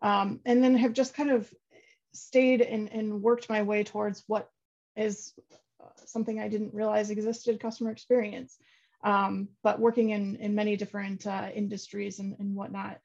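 A woman's voice: mid-range voice, level tone, moderate pace, vocal fry, darkish tone, highs cut off. A woman from the United States, a podcast guest, talking in an upbeat, chatty way with many fillers. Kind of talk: casual interview talk.

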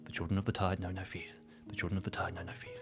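A man's voice: sits low, completely flat delivery, very fast, music in the background, pitched below the performer's own voice, lightly acted. A man playing a crazy, pompous, rambling eccentric in an improvised roleplay game. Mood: eerie, hushed.

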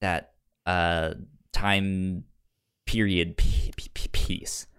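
A man co-hosting a podcast, chatting casually about TV shows in a halting way, often repeating the starts of words. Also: sits low, little pitch movement, fairly bright tone, clear, resonant tone. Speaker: podcast co-host.

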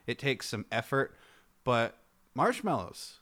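A man chatting casually and jokily as a podcast co-host, rambling and halting as he goes. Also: mid-range voice, sing-song, fairly bright tone. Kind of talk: casual comic chat among friends.

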